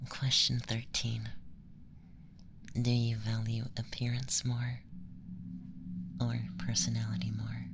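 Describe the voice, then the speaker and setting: A man playing a curious alien femboy in an ASMR roleplay, speaking softly and deliberately in a gentle, breathy near-whisper. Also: medium pitch, completely flat delivery, noisy background. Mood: curious, calm. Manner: deliberate, gentle, questionnaire-like delivery with pauses. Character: soft-spoken curious alien femboy conducting a formal assessment. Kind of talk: ASMR roleplay, close soft speech and whispering.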